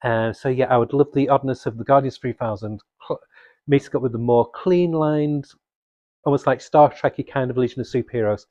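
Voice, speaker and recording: A man's voice: mid-range voice, brisk, a little mellow, dry recording, dull audio. A man presenting unscripted fan commentary to viewers in a casual, rambling, enthusiastic way. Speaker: comics video presenter.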